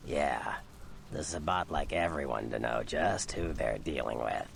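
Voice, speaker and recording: Gravel-throated, bottomless-voiced cynical vampire fixer. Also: male voice, animated, slight background noise, exaggerated voice. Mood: gruff, matter-of-fact. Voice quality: very deep gravelly growl. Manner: blunt, unhurried, sardonic. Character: gruff streetwise male vampire fixer, cynical and contemptuous. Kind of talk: video game dialogue.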